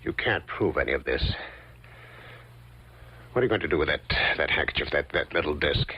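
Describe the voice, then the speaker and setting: A man's voice: rumbling low, fairly quick, breathy edge. An actor playing an anxious, indignant man in an old-time radio mystery, protesting with flustered stammers. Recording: muffled old radio drama recording.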